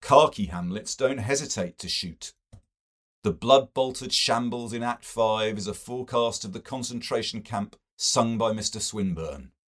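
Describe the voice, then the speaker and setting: A caustic young intellectual, played by a male reader, arguing with nervous intensity. He declaims loudly, pitched above the narrator's low voice, as in an audiobook. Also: measured pace. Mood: caustic, forceful. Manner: tingling energy, declamatory.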